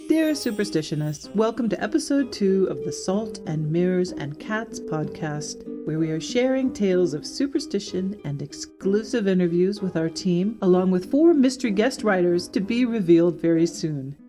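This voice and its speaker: Over plucked guitar music, a woman presents a podcast from a script. Her voice sits slightly low as she introduces and hosts the programme. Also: animated, moderate pace, hardly any pauses. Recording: music playing under the voice.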